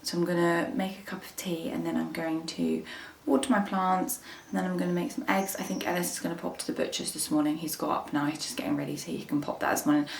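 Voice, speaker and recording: A woman's voice: on the low side, fairly even intonation, fast talker, gravelly, hardly any pauses. A young woman, chatting casually to camera at home and in her garden, rambling with plenty of fillers. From England. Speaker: lifestyle vlogger. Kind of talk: casual vlog chat to camera. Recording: roomy echo with faint ambient noise.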